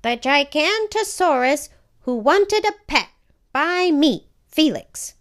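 A woman's voice: sits high, lively intonation, slow, pitched above the performer's own voice. A woman voicing an earnest, emphatic young schoolboy in a children's storybook. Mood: bright, proud. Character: young schoolboy, big brother, expressive and earnest. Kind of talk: children's storybook read-aloud.

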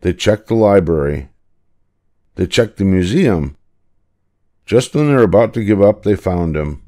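A man's voice: low-pitched, expressive, measured pace, warm tone. An older man reading a children's picture book aloud as narrator. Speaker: storybook reader.

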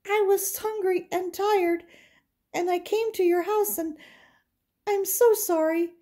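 A woman voicing Goldilocks, a small girl in a storybook, speaking slowly and at times in a whisper. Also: a little high, wildly swooping pitch, darkish tone, pitched above the performer's own voice, exaggerated voice. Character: sweet little girl intruder Goldilocks.

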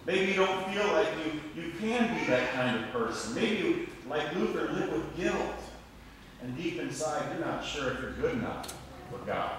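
A man's voice: a little high, sing-song, moderate pace, fairly bright tone. Male preacher in a very echoey church. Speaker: Lutheran pastor preaching. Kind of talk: sermon. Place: church sanctuary at a lectern. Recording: echoey church, distant mic, faint noise.

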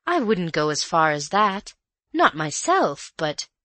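Woman doing an excitable, swooping young housemaid. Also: lowish voice, takes it slowly, dry recording, heavily acted. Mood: protesting.

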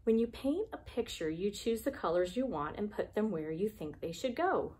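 A woman's voice: medium pitch, expressive, moderate pace, warm tone. A woman, chatting casually and reading aloud for preschoolers, asking simple, encouraging questions. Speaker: preschool devotion host. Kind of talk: casual chat and reading aloud for young children. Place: small classroom, indoors.

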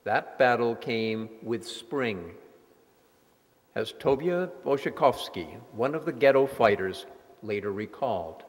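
A man's voice: mid-range voice, lively intonation, slow, slightly rough. Man narrating solemnly in an echoing hall. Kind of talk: scripted dramatic narration. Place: large sanctuary hall before an audience. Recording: echoey hall with music behind.

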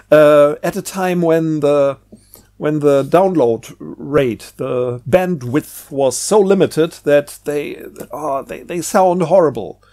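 A man with a somewhat high-pitched voice talks casually, as if thinking aloud for a vlog. He presents in a loose, unscripted way, halting, rephrasing and letting sentences trail away. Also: darkish tone. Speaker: book reviewer and presenter. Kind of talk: casual unscripted vlog monologue over a book.

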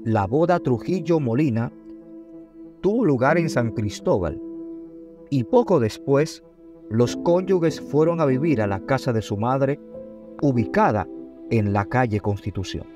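Clear background music runs under a man's voice of middling pitch. He narrates like a documentary presenter, reading a script formally. His delivery is measured, set out in short phrases. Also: expressive.

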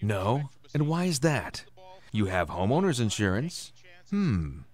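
A man's voice: medium pitch, big pitch swings, slow pace, darkish tone. A man acting in a radio drama as a sardonic, menacing gunman who taunts his hostage. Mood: cold menace. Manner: mocking, taunting.

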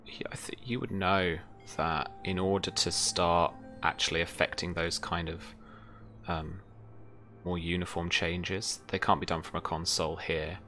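Deep-voiced young man running a tabletop game. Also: little pitch movement, pushed and bright. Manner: halting, thoughtful phrasing. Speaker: game master. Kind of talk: unscripted tabletop game narration. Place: indoor room, online video call. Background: faint music.

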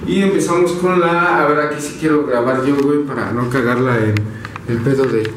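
A man's voice: medium pitch, a little fast, a little hiss or hum. Young man, Mexican Spanish accent, slangy casual vlog, camera mic at a distance, roomy echo. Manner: slangy, energetic, talks to viewers as "banda". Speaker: vlogger. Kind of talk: casual house tour vlog. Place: small apartment, indoors. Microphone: handheld camera mic. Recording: echoey room, a bit off-mic.

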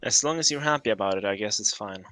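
Man, bright tense voice, calm dry remarks, close dry mic. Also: fairly low pitch, fast, no room sound. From Norway. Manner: calm, explanatory, dry quips. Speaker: streamer co-host. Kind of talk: casual gameplay chat. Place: small home room.

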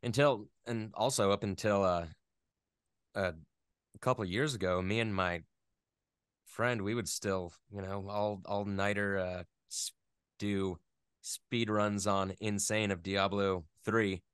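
A man in his thirties chatting casually as an interview guest, thinking aloud in a halting, self-deprecating way. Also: fairly low pitch, steady tone, a bit bright, clean tone, dry recording, clean studio sound. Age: 30s.

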